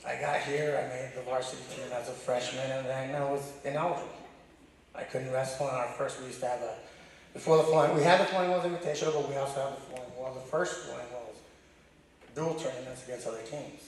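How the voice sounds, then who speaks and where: A middle-aged man, a high school wrestling coach, giving an informal, emphatic memorial tribute full of stories in a loud voice. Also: fairly even intonation, brisk. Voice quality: loud, booming. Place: large echoey room at a high school. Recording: very echoey hall, distant, faint noise.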